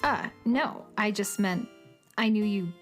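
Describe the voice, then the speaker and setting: A woman's voice: mid-range voice, faint music behind, natural voice. A woman playing a petulant, haughty troublemaker and obsessive fan in a children's space audio drama. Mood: stubborn, smug. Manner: scornful, bratty, drawn-out emphatic delivery.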